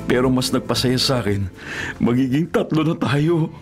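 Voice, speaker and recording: A man's voice: medium pitch, animated, moderate pace, close to an everyday voice. A man with a Filipino accent playing a sweet, hopeful young lover in a radio drama, affectionate and teasing. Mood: hopeful, tender. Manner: playful, affectionate. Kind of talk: Tagalog radio drama acting. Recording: radio drama with prominent music underneath.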